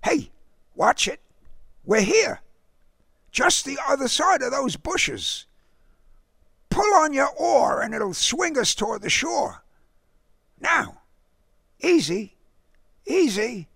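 A man voicing a bold, excitable young boy in a story, with an urgent, exclamatory manner. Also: extremely high pitch, slow pace, creaky, pauses often, dry recording, clean studio sound, voice pushed up, full character voice. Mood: bossy, eager.

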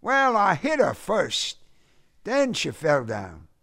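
A man voicing a brash, hot-tempered Southern uncle in an audiobook story, loud, complaining, folksy and boastful. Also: sits high, wildly swooping pitch, slow, vocal fry, no room sound, studio quality, pitched above the performer's own voice, exaggerated voice. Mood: brusque. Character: brash hot-tempered Southern uncle, former boxer and tall-tale teller. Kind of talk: audiobook character voice in a period story.

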